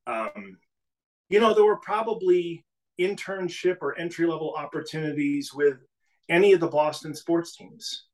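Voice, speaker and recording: A middle-aged man from New England, a business CEO talking casually as a podcast guest, telling stories in a relaxed way with frequent restarts. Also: on the high side, moderate pace, rough recording. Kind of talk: casual interview conversation.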